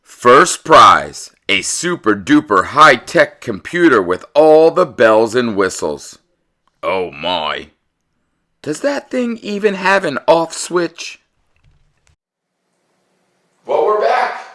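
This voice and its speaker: Male read-aloud host, unhurried and theatrical, with lively swings in pitch, for children's picture books. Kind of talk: expressive children's picture book narration.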